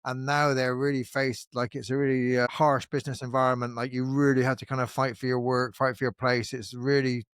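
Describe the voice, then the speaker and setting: A man hosting a conversational podcast, talking in an animated way with frequent restarts and fillers. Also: mid-range voice, brisk, few pauses, clean tone, dry recording, studio quality. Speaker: podcast host. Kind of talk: casual conversational interview.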